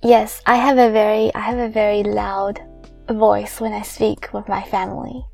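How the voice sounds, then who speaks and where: A soft-spoken young woman whose first language is Chinese, co-hosting a casual podcast chat and laughing often. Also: medium pitch, little pitch movement, normal speed, gravelly, faint music behind. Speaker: podcast co-host.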